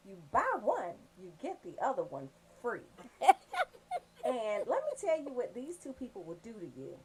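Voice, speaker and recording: A woman hosting a radio show, giving casual, comedic shout-outs in a sassy, joking, profane way. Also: on the high side, wildly swooping pitch, normal speed, a little hiss or hum, low-quality recording.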